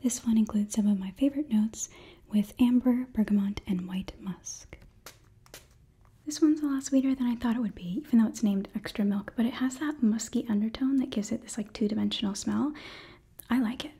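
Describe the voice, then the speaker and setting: Casting: young female ASMR host, very breathy soft whisper, mellow tone, close-miked product review. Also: a little high, a little fast. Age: young adult. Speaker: ASMR host reading a sponsor ad. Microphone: close microphone.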